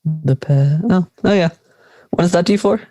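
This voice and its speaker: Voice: mid-range voice, normal speed, dark tone, no echo, natural voice. A teenage performer playing a carefree, teasing school friend in conversational audio drama dialogue. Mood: breezy. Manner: laid-back, teasing.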